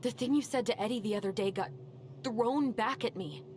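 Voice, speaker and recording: A woman's voice: fairly high pitch, moderate pace, darkish tone, slight background noise, close to an everyday voice. An actress playing a troubled, intense young woman in a video game, speaking with earnest emotional weight. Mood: pleading, insistent. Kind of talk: naturalistic video game cutscene acting.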